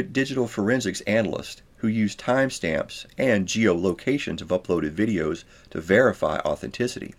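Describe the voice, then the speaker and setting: A man reading his own nonfiction book aloud as scripted narration, in a measured, formal way. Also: fairly low pitch.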